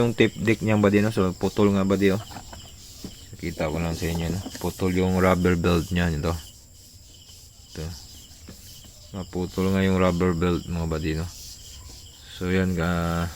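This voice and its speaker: A man's voice: low voice, a little mellow, a little hiss or hum. A man casually explaining a hands-on repair, informally tossing in short tag questions, like a tutorial vlogger.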